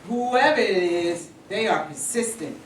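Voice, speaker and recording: A woman's voice: mid-range voice, lively intonation, measured pace, lightly acted. A woman reading the part of a reverend who speaks respectfully but presses his leader. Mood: pressing. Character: impatient male reverend follower. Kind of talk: staged reading. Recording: echoey room, read from far off, noisy.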